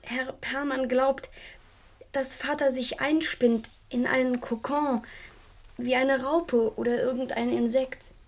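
Lonely anxious daughter in radio drama, soft high breathy voice, kinda tender and close. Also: female voice, fairly even intonation, takes it slowly, exaggerated voice. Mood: grave.

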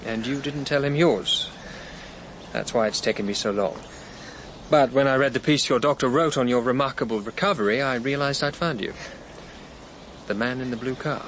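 Man to play tactful but firm solicitor type, measured and formal. Radio drama with noticeable noise behind. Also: mid-range voice, a bit bright, lightly acted. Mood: careful, probing. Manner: formal, careful. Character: measured, discreet male family lawyer, tactful but firm. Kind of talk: naturalistic radio drama acting.

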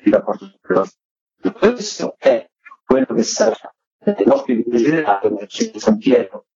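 A man with a mellow, husky voice works through a radio round-up as a theatre correspondent. He is discursive, pausing to search for words. The sound is dull and lo-fi. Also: on the high side, wildly swooping pitch. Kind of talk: radio events round-up, semi-improvised.

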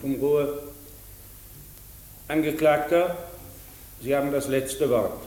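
Male, slightly high voice, authoritative radio-play judge, formal, in a reverberant room with faint hiss. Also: takes it slowly. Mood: stern, official. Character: stern, formal presiding judge. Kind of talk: German radio play acting.

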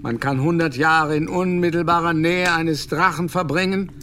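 A pompous English Jesuit doctor in a radio play, grandly lecturing simple folk. He keeps fumbling for the right German word as he holds forth. His voice sits slightly high for a man, with a faint hiss behind it. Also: steady tone, moderate pace, bright, forceful tone. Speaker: Jesuit scholar.

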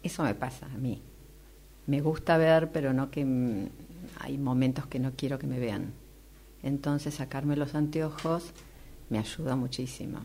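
Very deep, gravelly Argentine woman. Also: unhurried, mellow. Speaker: radio drama workshop participant. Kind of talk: casual, confiding chat. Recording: faintly noisy room recording.

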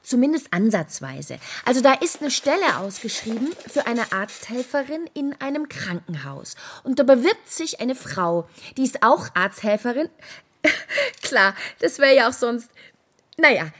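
Anxious actress, higher voice, a little husky, hesitant, probing, naturalistic radio drama. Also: female voice, sing-song, a little slow, a bit bright, exaggerated voice. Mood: nervously placating. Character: anxious, questioning actress waiting at an audition.

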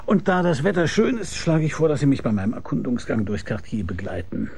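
A wry private eye tells his radio crime story in a mellow, creaky voice. He is dry and laconic, with a middling pitch and a faint noise behind him. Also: very animated, fairly quick. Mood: wry, easygoing. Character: wry hard-boiled male private detective. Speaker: lead actor and narrator. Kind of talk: radio crime drama, first-person narration.

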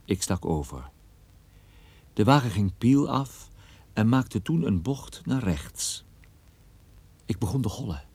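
A man acting a level-headed private detective who tells his own case in a radio play, in measured, suspenseful storytelling. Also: fairly low pitch, sing-song, darkish tone, husky, no echo, exaggerated voice. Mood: tense, measured. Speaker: radio drama actor. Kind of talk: radio play dialogue and first-person narration.

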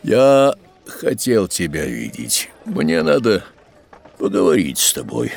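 An actor playing a careworn middle-aged father, a gently apologetic shopkeeper with a gravelly voice, in an audio drama. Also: fairly low pitch, huge pitch swings, slow pace, heavily acted. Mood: anxious, urgent. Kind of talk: Russian audio drama acting.

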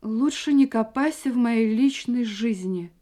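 An actress plays an anxious young wife in a radio drama, her voice fairly high but soft and mellow. She often drops into agitated whispers as she talks to herself. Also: measured pace, natural voice. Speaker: heroine and narrator of a radio play. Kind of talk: radio play acting.

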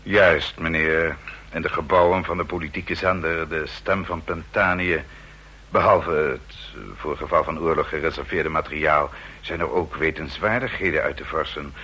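A deep-voiced, formal butler. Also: male voice, sharp tone, husky, heavily acted. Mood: formal, polite. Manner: formal, polite, deliberate. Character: formal, watchful male house servant. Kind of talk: vintage radio drama acting.

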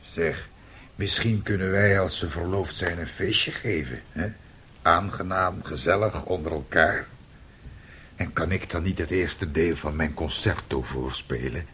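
A man's voice: low-pitched, a little slow, bright, forceful tone, a little hiss or hum. Radio drama: ageing artist husband and father, middle-aged, self-pitying, plaintive, wistful dreamer. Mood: wistful, hopeful. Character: aggrieved, dreamy, ageing failed composer and father.

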